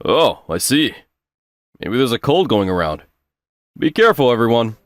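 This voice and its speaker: A man's voice: mid-range voice, sing-song, moderate pace, no room sound, clean studio sound, faint music behind, close to an everyday voice. A man playing a casual, mildly concerned male schoolteacher in a manga dub.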